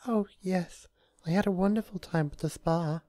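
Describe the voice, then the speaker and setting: A gentle, timid female pony from a cartoon story, played by a man. The voice is lifted far above the reader's own, with a sweet, polite manner. It is soft in tone and recorded very dry. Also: sits high, moderate pace, dry recording, pitched above the performer's own voice, lightly acted. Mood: cheerful.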